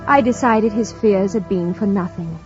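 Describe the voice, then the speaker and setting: An actress playing a devoted young governess in a mid-century radio drama, with polite, restrained and precise formal diction. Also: female voice, mid-range voice, normal speed, over loud music, close to an everyday voice. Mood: composed, recounting. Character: devoted, composed young governess, quietly steadfast. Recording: muffled old radio broadcast.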